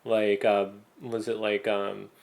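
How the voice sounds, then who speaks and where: A man hosting a podcast in casual fan chat, thinking aloud haltingly and saying "like" often. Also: fairly low pitch, level tone, creaky, a little mellow. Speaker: podcast host.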